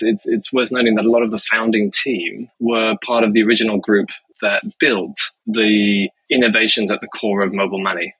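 Bright, husky-voiced man. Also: fairly low pitch, dry recording, rough recording. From Zimbabwe. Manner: measured, explanatory, with frequent "uh" fillers. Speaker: guest, business executive. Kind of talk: interview answers.

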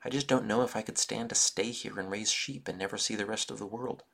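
An earnest young man talks plainly about his dreams, as a storyteller voices him. The voice is low, gravelly and husky. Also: fairly quick, a bit bright. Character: restless young man who longs to travel.

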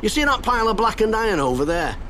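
An actor playing a chatty, good-humoured cab driver in an audio drama, with a breezy, wry, reassuring manner. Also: male voice, high voice, very animated, quick pace, a bit bright. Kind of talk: naturalistic audio drama dialogue. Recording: inside car with engine and traffic noise. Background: vehicle and street ambience.

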